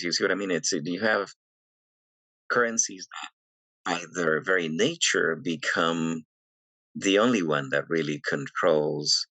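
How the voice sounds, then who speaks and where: Very deep-voiced man hosting an interview. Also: fairly bright tone, no room sound. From Venezuela. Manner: thoughtful, stammering restarts. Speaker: podcast host. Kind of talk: academic interview conversation.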